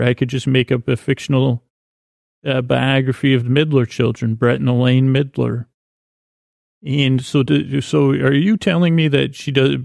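A man hosting a sleep podcast with a meandering, halting, whimsical bedtime monologue in a gravelly, creaky voice. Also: medium pitch, a little mellow, no room sound, clean studio sound. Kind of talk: rambling improvised bedtime monologue.

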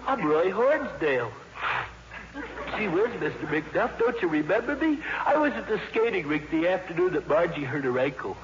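Gawky teen boy, gushing, highish voice, reverberant muffled old radio play. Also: big pitch swings, normal speed, a little hiss or hum. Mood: earnest, awkward. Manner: gushing, naive, awkward. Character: eager, gawky, talkative teenage boy. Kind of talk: 1950s radio drama acting.

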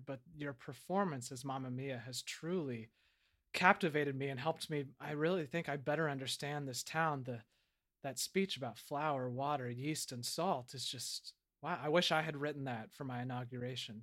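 A man's voice: mid-range voice, normal speed, a bit bright. A man hosting a podcast in a casual, chatty style. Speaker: podcast host playing an in-character town leader.

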